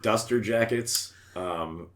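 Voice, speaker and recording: A man with an American accent and a deep voice co-hosts a casual comedy podcast. His talk is irreverent banter, thick with profanity. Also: takes it slowly. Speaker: podcast co-host.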